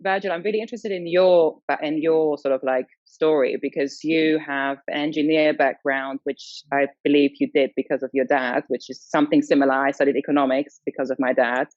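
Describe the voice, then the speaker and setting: A woman's voice: sits low, steady tone, a little fast, few pauses, darkish tone, no room sound, highs cut off. A woman who grew up in Austria, moderating a panel and hesitating and repeating words as she asks questions. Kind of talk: panel discussion.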